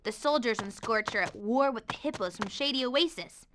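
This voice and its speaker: A woman playing a perky, friendly young female faun who narrates video game cutscenes in an expressive storybook way. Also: fairly high pitch, normal speed, noisy background, lightly acted. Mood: concerned explaining. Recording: game music under the voice.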